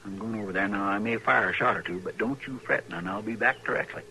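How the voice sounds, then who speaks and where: An actor playing a loyal, stubborn frontier deputy with a rural Western American accent and folksy, ungrammatical talk, in an old-time radio western. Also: male voice, on the low side, fast, gravelly. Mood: encouraging. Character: loyal, stubborn frontier deputy, plain-spoken country man. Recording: noisy vintage radio drama recording.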